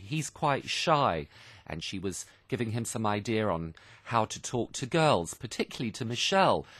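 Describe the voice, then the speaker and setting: Male educational radio presenter: measured teacher's delivery, lowish voice, close dry studio sound. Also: fairly bright tone, no echo. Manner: measured, didactic, with rhetorical questions. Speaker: programme host. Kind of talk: explaining a radio drama to language learners.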